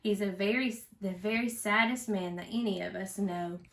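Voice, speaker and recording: A woman reading a children's storybook aloud in an expressive, teacherly way, with chatty asides and questions to a young listener. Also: mid-range voice, moderate pace, vocal fry. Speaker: parent reading a storybook aloud for a school read-aloud.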